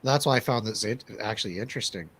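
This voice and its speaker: A man's voice: lowish voice, fast talker, fairly bright tone, husky. A man hosting a radio show, chatting casually about movies in a colloquial, jokey, agreeable way. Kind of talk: casual movie review chat.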